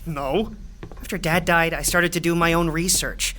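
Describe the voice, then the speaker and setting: A man's voice: fairly high pitch, fairly even intonation, moderate pace, pushed and bright, slight background noise. An actor playing an earnest, obsessive young man in an audio drama, hopeful but defensive. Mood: earnest, uneasy.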